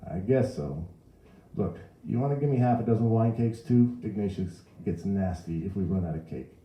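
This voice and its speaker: An older working-class New Orleans mother, put on by a male reader, pitched up from his own register. She chats and complains in a familiar, folksy storybook voice, with echo around it. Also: lively intonation, normal speed, warm tone, lightly acted. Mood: complaining. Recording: roomy echo.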